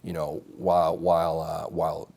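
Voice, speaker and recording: A man's voice: sits low, flat delivery, moderate pace, gravelly, warm tone. A man in his thirties who grew up in Mexico, giving earnest, assertive answers as a candidate in a TV studio interview, often restarting his sentences. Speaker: congressional candidate. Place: small TV studio set.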